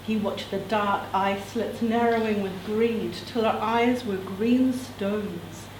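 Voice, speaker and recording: A woman's voice: medium pitch, steady tone, slow. A woman reading a novel extract aloud to others with expression, adding casual asides. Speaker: reader at a literary event. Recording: echoey room, distant mic, background noise.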